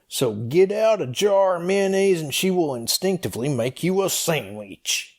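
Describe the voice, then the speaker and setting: A man voicing a rambling, slow-witted folksy storyteller in a comedy skit, hesitant and meandering as he goes. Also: on the high side, lively intonation, pitched above the performer's own voice. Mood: earnest, stalling. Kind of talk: comedy skit read as dialogue.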